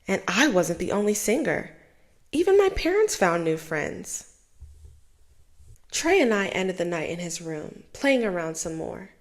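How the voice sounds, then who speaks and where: Animated young woman reading a storybook. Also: mid-range voice. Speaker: storytime host. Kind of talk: children's book read-aloud.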